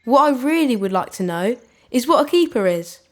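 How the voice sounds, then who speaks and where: A woman's voice: fairly high pitch, sing-song, a little fast. A woman voicing an earnest, anxious but brave young boy in a storybook, sincere and a little hesitant. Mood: earnest, curious. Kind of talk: storybook character voice.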